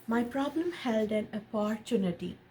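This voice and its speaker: A woman reading a picture book aloud in an expressive storytelling style, pausing to explain and ask questions of a child and viewers. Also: fairly high pitch, low-quality recording. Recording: noticeable background noise.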